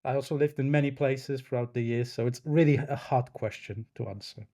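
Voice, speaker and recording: Male interview guest, 30s, native Polish speaker. Warm, relaxed timbre, thoughtful and self-effacing, feels his way through answers. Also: mid-range voice, fairly quick. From Poland. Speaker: podcast guest, snooker referee.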